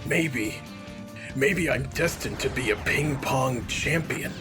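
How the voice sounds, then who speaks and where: A man's voice: medium pitch, slow. A man chatting casually as a commentator on a video game. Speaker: let's play commentator. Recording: game music behind the voice. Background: loud video game music.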